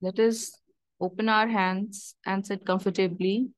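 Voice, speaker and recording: A woman leading a guided meditation, giving gentle instructions and prayers in measured short phrases with long pauses. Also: medium pitch, steady tone, dry recording.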